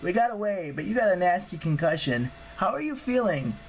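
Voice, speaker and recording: Audiobook role: male narrator playing an easygoing boy mechanic, modest and casual, pitched up. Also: very animated, brisk, slight background noise, low-quality recording. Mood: reassuring. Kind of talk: audiobook character acting.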